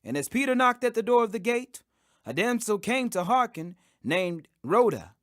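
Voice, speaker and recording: High-pitched, animated man reading scripture. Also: normal speed, dry recording, clean studio sound. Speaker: Bible reader.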